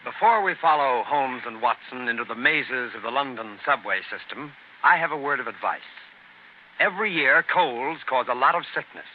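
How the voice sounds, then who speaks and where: A man working as a radio announcer, reading a 1930s sponsor advertisement in an earnest, emphatic, deliberately phrased manner. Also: mid-range voice, expressive. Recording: scratchy, tinny 1930s radio recording.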